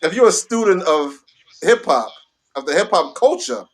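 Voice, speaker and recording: Man, middle-aged, American accent, slightly high voice, slangy, emphatic, repetitive, casual interview guest. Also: animated, moderate pace. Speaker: guest, veteran hip hop DJ and host. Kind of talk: casual chat.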